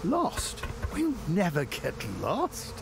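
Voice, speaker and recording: A fussy, emotional male chef from a cartoon game, speaking high and slowly. His delivery is nervous and dramatic, leaping all over in pitch, with noise behind him. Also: huge pitch swings, gravelly, exaggerated voice. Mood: fussy, eager. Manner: hesitant, flustered, dramatic. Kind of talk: cartoon video game acting.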